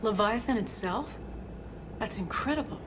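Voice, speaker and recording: An actress playing an earnest, shaken archaeologist in a video game, speaking hesitantly and thoughtfully. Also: female voice, on the high side, lively intonation, moderate pace, noisy background, close to an everyday voice. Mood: fascinated. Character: earnest, shaken female archaeologist, an excited scholar. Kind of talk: video game cutscene acting. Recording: very loud game music behind the voice.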